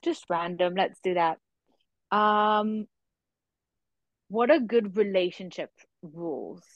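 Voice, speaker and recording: A woman's voice: medium pitch, slow pace, dry recording, highs cut off. A woman in her thirties, a podcast host chatting casually in conversational discussion. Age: 30s.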